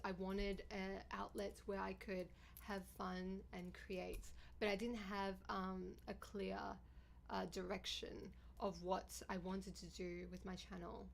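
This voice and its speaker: A woman with a deep, raspy voice and a gravelly edge talks casually on a livestream. She keeps up a chatty, bubbly flow with viewers and thanks them often. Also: slight background noise. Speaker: livestreamer and YouTuber.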